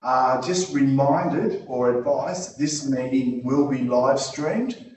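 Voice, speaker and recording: An Australian man chairing a local council meeting, opening it with formal items and casual joking asides in a loud voice. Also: medium pitch, slow pace, slight background noise. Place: council chamber. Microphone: not using the microphone. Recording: roomy, from far off.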